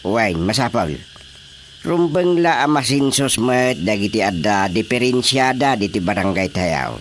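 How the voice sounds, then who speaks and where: A man's voice: on the low side, expressive, measured pace, a little hiss or hum. A man playing a chatty, practical village official in a radio drama comedy, talking emphatically. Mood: conversational.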